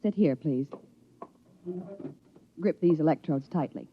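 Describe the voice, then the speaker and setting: A woman's voice: sits low, slow, a little hiss or hum. An actress playing a cold, controlled woman professor in 1950s radio drama, with clipped, clinical and formal diction. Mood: cool, clinical. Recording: muffled old radio recording.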